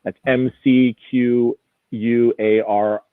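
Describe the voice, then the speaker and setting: A man's voice: mid-range voice, little pitch movement, takes it slowly, no echo. Male auction presenter on a phone-like line. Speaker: auction house executive presenting lots. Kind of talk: informal live auction preview commentary.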